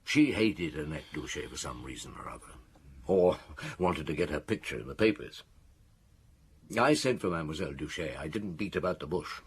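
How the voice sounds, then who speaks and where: A middle-aged actor playing a weary, reflective chief inspector in a radio drama, speaking in a measured, ruminative way. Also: male voice, a bass voice, lively intonation, husky, heavily acted. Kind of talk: radio drama dialogue and recollection.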